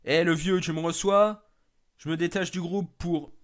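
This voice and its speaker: A man voicing an agitated forest rescuer in an amateur horror story, brisk, blunt and colloquial. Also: fairly high pitch, fairly even intonation, voice pushed up, lightly acted. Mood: alarmed, angry.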